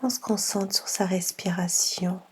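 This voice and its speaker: A woman guiding a yoga nidra session in a soft, half-whispered voice, unhurried, with long pauses between gentle instructions. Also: on the low side, a little hiss or hum. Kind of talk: slow guided meditation.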